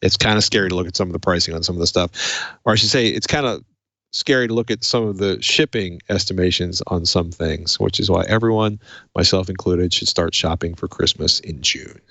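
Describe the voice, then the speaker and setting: A man's voice: low voice, fairly quick, creaky, sharp tone, dry recording. A man hosting a casual tech show, chatty and conversational, with wry asides. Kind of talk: casual tech podcast chat.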